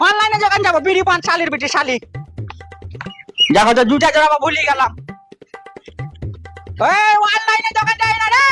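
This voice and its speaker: Shrill, cranky very old Bengali villager acted by man. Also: bright, forceful tone. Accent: rural Birbhum Bengali. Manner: grumbling, cursing, breathless complaining. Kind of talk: comic village skit acting.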